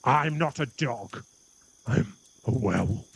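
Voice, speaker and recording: Man as a lovestruck werewolf, ASMR roleplay, gravelly husky voice, teasing, boastful, flirtatious. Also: mid-range voice, expressive, unhurried, no echo, heavily acted. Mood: indignant. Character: cocky, lovestruck male werewolf, playful and boastful.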